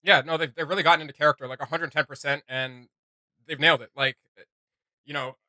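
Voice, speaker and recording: A man chats casually as a reaction host, full of jokey slang and exclamations. His voice is higher than most men's and very bright, recorded dry with faint music behind. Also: fairly even intonation, fast, dry recording.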